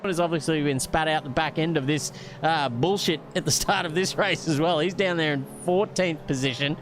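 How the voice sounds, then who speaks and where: A man's voice: on the high side, moderate pace, noisy background. An Australian man co-commentating a sim race in a rambling, slangy way with chuckles. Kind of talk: laid-back race commentary.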